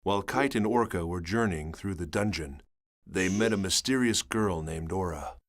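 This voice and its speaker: An actor playing a sober engineer recounting his journal in measured, grave narration for a video game. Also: low voice, lightly acted. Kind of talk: scripted dramatic narration.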